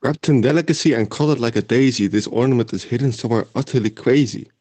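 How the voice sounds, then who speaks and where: A man playing an excitable teenage wizard schoolboy in an amateur audio drama. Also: medium pitch, quick pace, dry recording, close to an everyday voice. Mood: reciting.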